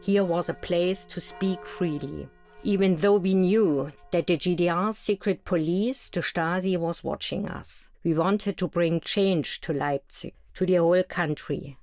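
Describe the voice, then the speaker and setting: A woman's voice: on the low side, measured pace, darkish tone, dry recording, clean studio sound, soft background music. A woman voicing an East German woman looking back on the 1989 protests in scripted first-person narration. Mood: reflective.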